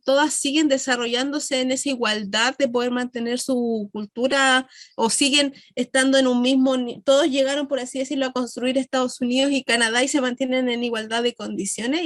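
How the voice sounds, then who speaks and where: A woman with a Chilean accent lectures to a class in a high, bright register. She explains step by step, asks questions and answers them herself, and often pauses on fillers or corrects herself mid-phrase. The sound is dry and close, with little room reflection. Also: steady tone, no echo. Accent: Chilean Spanish. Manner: many fillers and self-corrections. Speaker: university lecturer.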